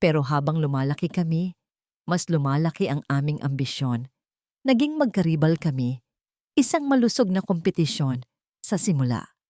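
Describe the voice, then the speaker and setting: Storybook tycoon father, older voiced by a woman; low register, solemn confession, regretful but protective, close dry sound. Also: expressive, warm tone, dry recording. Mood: bitter, reminiscing. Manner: grave, slow, confessional. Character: powerful older billionaire father, remorseful yet fiercely protective.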